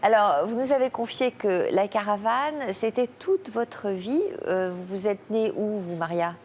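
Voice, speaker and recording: A woman hosting a television talk show, guiding guests with soft murmurs of encouragement. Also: mid-range voice, measured pace, a little hiss or hum. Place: television studio.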